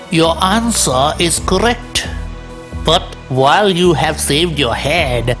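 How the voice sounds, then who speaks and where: A woman voicing a sly, gleeful ghost who poses riddles in a deliberate, taunting way for a children's cartoon. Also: lowish voice. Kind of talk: theatrical children's cartoon dialogue.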